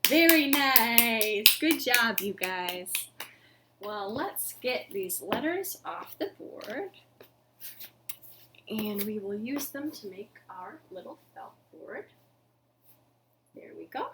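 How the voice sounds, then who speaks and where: A woman in her thirties reads to young children as a storytime host. Her voice sits fairly high and swings widely in pitch. She speaks very slowly, in an expressive sing-song way, addressing the children directly. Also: background noise. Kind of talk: children's storytime, interactive read-aloud. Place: living room at home.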